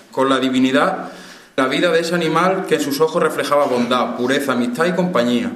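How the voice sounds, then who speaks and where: A man's voice: on the high side, roomy sound. A man, an inmate, reading a solemn, earnest reflection aloud. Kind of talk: read reflection.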